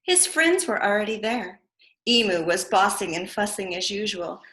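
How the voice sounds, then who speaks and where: A woman reading a picture book aloud to young children with expressive storytelling. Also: mid-range voice, normal speed. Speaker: preschool storyteller. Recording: echoey room.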